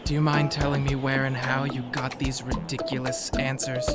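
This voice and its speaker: A woman playing a sarcastic, exasperated male high school teacher in an anime fan dub, with a dry, scolding delivery. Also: level tone, normal speed. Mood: weary, exasperated. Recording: music behind the voice.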